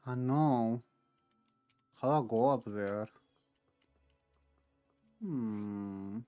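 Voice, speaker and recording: A woman's voice: rumbling low, drawn-out words, mellow, dry recording, soft background music. A woman doing casual gameplay commentary, thinking aloud as she plays. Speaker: game streamer.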